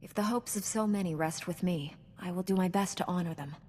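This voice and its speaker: An actress playing a young Jedi knight in a game, speaking in an earnest, composed and formal way. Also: female voice, fairly low pitch, brisk, darkish tone, a little hiss or hum, close to an everyday voice. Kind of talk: dramatic game cutscene acting. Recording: long reverberant hall.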